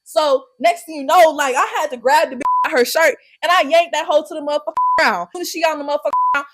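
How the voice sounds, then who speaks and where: A young woman with telling a storytime vlog, brash and profane, loudly acting out the quoted lines. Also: sits high, steady tone, fast talker. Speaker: YouTuber.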